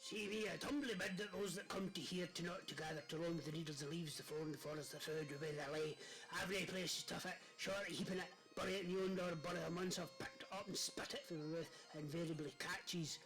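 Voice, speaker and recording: A man's voice: fairly high pitch, fairly even intonation, quick pace, hardly any pauses, a bit bright, natural voice, poor audio. A man playing an eccentric rustic ceremonial custodian in a mock newsreel, rambling cryptically. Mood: earnest, cryptic. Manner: rambling, cryptic dialect speech. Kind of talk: mock newsreel interview character. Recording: music playing behind the voice.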